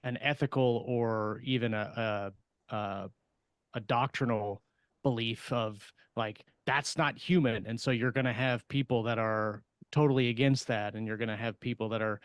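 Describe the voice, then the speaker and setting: A man co-hosting a podcast in casual discussion, with a halting, hesitant delivery. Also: medium pitch, steady tone, clean tone, no room sound. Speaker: podcast co-host.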